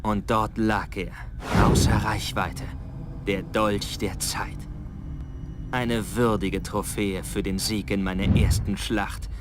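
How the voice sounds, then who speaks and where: An actor playing a proud young prince in a video game, narrating his own tale in a measured, solemn, literary way. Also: lowish voice, level tone. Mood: solemn, covetous. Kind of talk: dramatic scripted storytelling narration. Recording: game audio with clear background noise.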